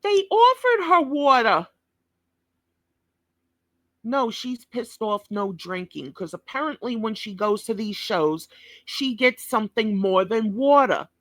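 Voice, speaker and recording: Woman commentator, scolding and profane, slow deliberate delivery, dry close sound. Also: fairly high pitch, big pitch swings, fairly bright tone, dry recording. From New York. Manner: blunt, scolding, heavy swearing. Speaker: commentator reacting to a clip.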